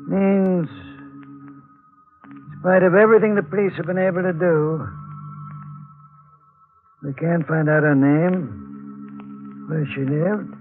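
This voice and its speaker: An actor playing a somber, fatherly older morgue attendant in a 1940s radio drama, speaking gravely and mysteriously. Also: a little high, big pitch swings, slow, long pauses, full character voice. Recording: tinny 1940s radio recording, faint music.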